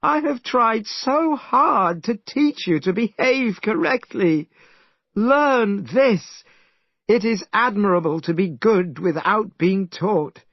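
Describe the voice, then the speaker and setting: A man's voice: high voice, animated, slow pace, no echo, dull audio, voice pushed up, heavily acted. A man voicing a stern elderly monk master who lectures his followers in an exasperated tone. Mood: scolding.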